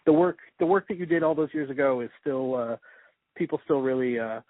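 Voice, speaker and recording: A man's voice: mid-range voice, a little fast, dry recording. A man interviewing casually, effusively polite, often stammering and repeating his words as he goes. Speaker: interviewer and podcast host. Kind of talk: casual phone interview chat. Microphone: phone or call line. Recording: tinny, dry phone-like line.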